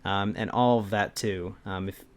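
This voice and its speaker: A man's voice: on the low side, fairly even intonation, unhurried. A young adult man co-hosting a video in casual, informal chat, with some loose swearing. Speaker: video co-host.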